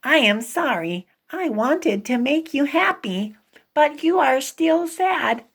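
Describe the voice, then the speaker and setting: A woman voicing an eager, caring young female pig from a children's picture book, with expressive, drawn-out delivery. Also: high-pitched, voice pushed up, exaggerated voice. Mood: puzzled, apologetic.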